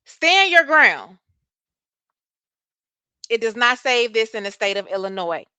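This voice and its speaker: A soft-voiced woman with hosts a live chat, her tone bright and forceful. She sits close to a dry microphone and answers viewers in an animated way, cursing freely. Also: a little high, slow, clear, resonant tone, no room sound. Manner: animated, talks back to chat, curses freely. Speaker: livestream host.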